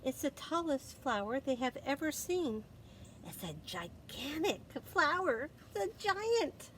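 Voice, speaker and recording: A woman reads a children's story aloud in a lively, swooping voice. She takes her time, stretching phrases and pausing for dramatic effect. A little background noise sits behind her. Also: high-pitched. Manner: expressive, dramatic pauses, gasps and exclamations for effect. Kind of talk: animated read-aloud for young children.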